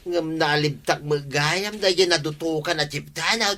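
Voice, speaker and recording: A sheepish duck thief in a radio comedy, with an Ilocano accent. His voice is sharp and forward, a little high, and he hedges and pleads through his excuses. The track carries a faint layer of noise. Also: normal speed. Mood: apologetic, pleading. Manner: hesitant, pleading, excuse-making. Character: sheepish male petty thief caught stealing ducks, making excuses. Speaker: radio drama actor.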